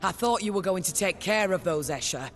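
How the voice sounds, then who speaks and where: Terse, higher-voiced bounty hunter. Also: male voice, expressive, fairly quick, a bit bright, reverberant, faint music behind. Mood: matter-of-fact. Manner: terse, blunt. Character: terse, hard-living male bounty hunter, blunt and determined. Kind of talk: video game voice acting, noir.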